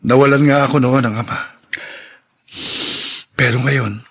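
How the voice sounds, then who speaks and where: A man's voice: medium pitch, fairly even intonation, unhurried, fairly bright tone. A man voicing an earnest young engineer who speaks politely in an audio drama. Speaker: voice actor.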